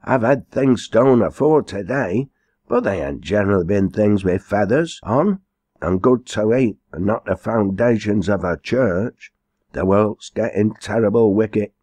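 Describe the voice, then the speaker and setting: A storyteller plays a grey-headed old farmer from a storybook, speaking in broad Lancashire dialect. He is rustic and drily humorous, with his voice lifted above a low natural register, recorded close and dry. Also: animated, a little slow, darkish tone, no echo. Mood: wry astonishment.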